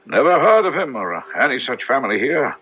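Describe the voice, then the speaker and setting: An actor playing a skeptical, solemn country villager in a radio drama, husky and emphatic. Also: male voice, medium pitch, very animated, fairly quick. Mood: solemn doubt. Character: skeptical, solemn lifelong villager, loyal old friend. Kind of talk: 1970s radio drama acting. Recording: dull old radio broadcast recording.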